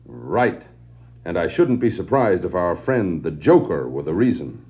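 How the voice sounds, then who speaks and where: An actor playing a composed, authoritative superhero detective in a 1960s children's record dramatization. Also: male voice, low voice, big pitch swings, measured pace. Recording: muffled 1960s vinyl record.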